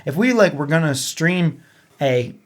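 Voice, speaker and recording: A man's voice: a little high, lively intonation, unhurried. A man co-hosting a gaming podcast, talking informally and thinking aloud in easy conversation. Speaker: podcast co-host. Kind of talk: casual conversational podcast chat about gaming.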